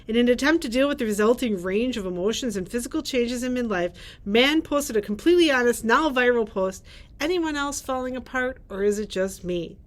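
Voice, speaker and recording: A woman's voice: on the high side, brisk. A middle-aged woman, a librarian, presenting book picks and reading blurbs aloud into a camera in a library. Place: library room with bookshelves.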